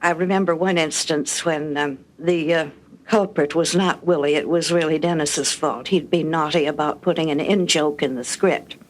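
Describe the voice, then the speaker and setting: An older woman shares recollections as a guest. Her voice is low for a woman, and she speaks in a measured, articulate way with dry humor. There is faint noise behind her. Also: steady tone. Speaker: guest recalling radio production history. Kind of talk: reminiscing anecdotes.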